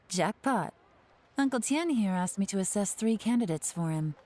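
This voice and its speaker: An actress playing a confident young female spy who talks in a wry, teasing, relaxed way in a game cutscene. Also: mid-range voice, a little slow, natural voice. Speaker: covert agent. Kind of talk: video game cutscene dialogue.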